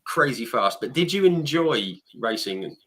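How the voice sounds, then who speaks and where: A man's voice: mid-range voice, big pitch swings, unhurried, sharp tone. A man from the UK hosting a casual interview, reacting with short enthusiastic wows and yeahs. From United Kingdom. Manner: enthusiastic, frequent short reactions like wow and yeah. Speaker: interview host.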